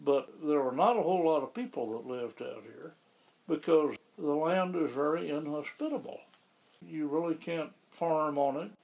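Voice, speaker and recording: A man being interviewed about the town where he lives, explaining things in a measured, conversational way. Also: fairly high pitch, creaky, darkish tone, poor audio. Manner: measured, explanatory, with occasional restarts. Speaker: interviewee, local resident.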